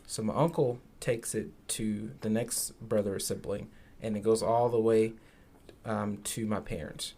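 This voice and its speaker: A man's voice: fairly low pitch, fairly even intonation, a little slow, dark tone. A man from Zimbabwe who is a podcast guest, telling stories in a casual, earnest way with many asides. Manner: earnest storyteller, many "you know" asides. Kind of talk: casual conversation.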